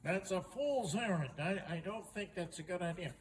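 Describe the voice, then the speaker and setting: A man's voice: sits high, lively intonation, normal speed, recorded from a distance, a little hiss or hum, pitched above the performer's own voice. A man voicing doubtful, challenging castle guards in a folk tale.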